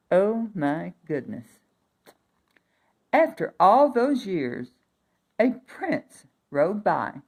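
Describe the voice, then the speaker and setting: A woman reading a fairy tale aloud for young children, expressive, with chatty asides and emphatic repetition. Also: on the low side, gravelly.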